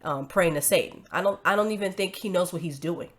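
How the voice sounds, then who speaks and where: A woman's voice: fairly low pitch, a little fast. A woman hosting a commentary video, talking casually with a stuffy nose from a cold, emphatic and chatty with frequent tag questions.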